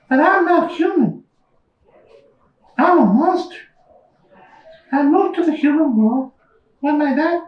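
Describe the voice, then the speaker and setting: A woman voicing a young, squeaky monster puppet telling stories in a simple, childlike way at a live puppet show. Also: darkish tone, muffled recording. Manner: childlike, simple storytelling to an audience. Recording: echoey room, slightly distant mic.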